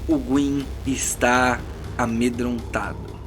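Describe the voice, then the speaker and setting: A man's voice: mid-range voice, little pitch movement, slow. A Brazilian man working as game master, narrating a fantasy tabletop role-playing game dramatically, with informal asides. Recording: music bed behind the voice.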